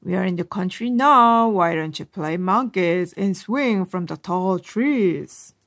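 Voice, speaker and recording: A woman's voice: fairly low pitch, takes it slowly, fairly bright tone, no echo, studio quality, heavily acted. A woman voicing a cheerful, upbeat father coaxing his child in a children's storybook. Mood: cheery, coaxing. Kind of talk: children's storybook read-aloud.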